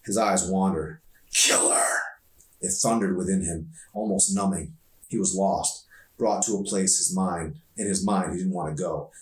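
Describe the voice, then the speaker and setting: A low-pitched man narrates a novel as its author, in an expressive storytelling style. The recording is roomy and echoey, with him a little away from the mic. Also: moderate pace. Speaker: author reading his novel. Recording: echoey room, distant from mic.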